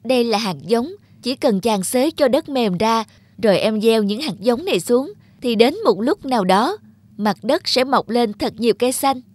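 A woman playing a gentle, devoted young fairy maiden in a cartoon fairy tale, speaking politely and earnestly. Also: a little high, animated, normal speed, no room sound. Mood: gentle, bright. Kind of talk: animated fairy tale acting. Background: background music.

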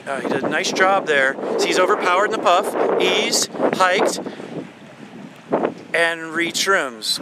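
A man coaching sailors from a motorboat, explaining technique and calling out commands. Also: high voice. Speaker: sailing coach. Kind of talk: live on-the-water coaching commentary. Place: outdoors on a motorboat on a lake. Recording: wind noise on camera mic.

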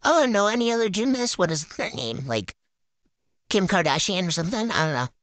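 A woman's voice: medium pitch, lively intonation, brisk, dry recording, natural voice. Woman doing a bratty ditzy noob gymnast. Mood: bossy. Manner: valley-girl drawl, silly and boastful. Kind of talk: improvised game roleplay voiceover.

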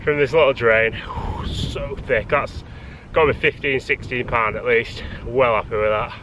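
A man's voice: medium pitch, fairly even intonation, a little slow, fairly bright tone. A young man chatting upbeat to camera while fishing on a windy riverbank, picked up by an action camera. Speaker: fishing vlogger. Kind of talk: casual outdoor commentary. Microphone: action camera on body or tripod.

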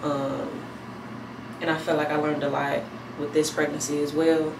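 A pregnant woman in her thirties chatting casually to camera at home, thinking aloud in a relaxed, rambling way. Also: deep voice, normal speed. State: heavily pregnant. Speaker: vlogger. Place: small bathroom at home, indoors. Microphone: camera mic a few feet away. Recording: echoey room, distant mic, air conditioner hum.

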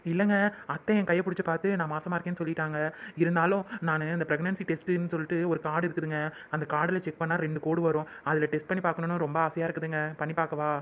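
Emotional young wife, played by a man: high, rapid, prayerful; lo-fi phone-like audio. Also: flat delivery, close to an everyday voice. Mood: coaxing. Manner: pleading, prayerful, weepy with joy. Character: young hopeful wife, newly pregnant, emotional. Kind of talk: home-made skit acting. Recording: tinny phone-like sound.

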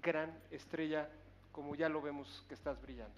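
A man's voice: fairly high pitch, fairly even intonation, normal speed. A middle-aged man giving earnest, emphatic judging feedback with rhetorical repetition. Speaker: contest judge. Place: judges' desk in TV studio. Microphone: headset microphone. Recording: slightly dull, faint studio noise.

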